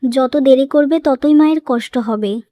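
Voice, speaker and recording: A woman playing a composed, persuasive young daughter-in-law in a cartoon moral story, explaining things in a measured way. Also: high-pitched, dark tone, no room sound.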